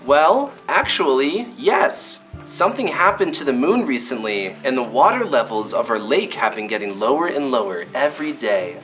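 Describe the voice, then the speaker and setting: Kindly lake mer creature woman performer, very low and expressive, hesitant stagey delivery for a children's play. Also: moderate pace, few pauses, soft background music. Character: kindly, grateful lake-dwelling mer person. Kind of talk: amateur children's readers' theater acting.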